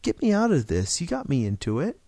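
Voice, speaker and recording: A man's voice: on the high side, huge pitch swings, fairly quick, creaky, mellow, dry recording, pitched above the performer's own voice, exaggerated voice. A man playing a desperate man in a story reading, gasping, stammering and pleading. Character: desperate, pleading unhappy man wanting his life back.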